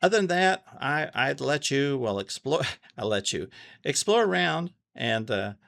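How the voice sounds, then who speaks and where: Casual man with a gravelly, creaky voice. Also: mid-range voice, big pitch swings, fairly bright tone, no echo. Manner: halting, thinking aloud, repeats phrases. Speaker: amateur radio tutorial host. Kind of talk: casual unscripted screen walkthrough.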